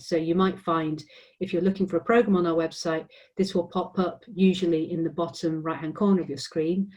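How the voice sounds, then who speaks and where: A woman's voice: lowish voice, a little mellow. English woman explaining university options. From England. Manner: friendly explanatory, frequent ums and restarts. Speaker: university admissions representative. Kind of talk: informational presentation.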